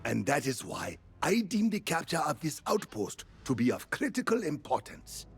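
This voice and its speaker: An earnest fantasy game strategist, a man with a very rough, husky voice. His delivery is formal and deliberate, with lively swings in pitch and a gravelly edge. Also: faint music behind, exaggerated voice. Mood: grave, resolute. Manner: formal, deliberate military phrasing. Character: earnest male military strategist in fantasy war. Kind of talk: scripted video game dialogue.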